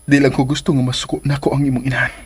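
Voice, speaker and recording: A man acting a busy, apologetic young businessman and boyfriend in a Filipino radio drama, with a Bisaya accent and a husky voice. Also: mid-range voice, little pitch movement, rapid-fire, very noisy, natural voice. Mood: regretful.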